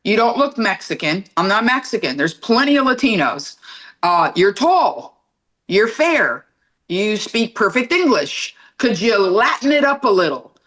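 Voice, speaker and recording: A woman's voice: medium pitch, sing-song, a little slow, a bit bright. A woman guest on a podcast telling a punchy, wry story in casual chat. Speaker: guest, comedian.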